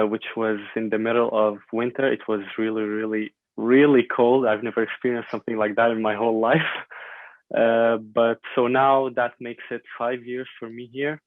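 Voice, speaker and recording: A man in his twenties from Syria, an Arabic speaker talking in English, answers in a halting, thoughtful way. His pitch barely moves. The sound is thin and phone-like, with no room echo at all. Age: 20s. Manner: thoughtful, halting, many fillers and repeats. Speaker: guest. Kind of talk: podcast interview. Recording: dry tinny phone-like line.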